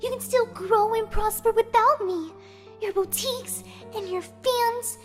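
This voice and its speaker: A woman's voice: very high voice, unhurried. A woman playing a timid, selfless young female pegasus pony, earnest and pleading, in a dramatised fan fiction. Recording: music under the voice.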